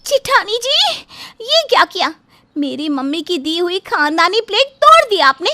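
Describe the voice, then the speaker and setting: A woman's voice: very high-pitched, sing-song, a little slow, bright, forceful tone, no echo, exaggerated voice. A woman playing a quarrelsome, indignant younger daughter-in-law in a story, whiny and argumentative with exaggerated delivery. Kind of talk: animated Hindi moral story dubbing.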